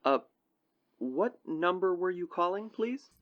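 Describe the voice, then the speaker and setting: Radio drama operator played by a man, polite and formal, lively intonation, muffled noisy line. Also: high-pitched, takes it slowly. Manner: formulaic, courteous. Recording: noisy, dull telephone-line sound with clicks.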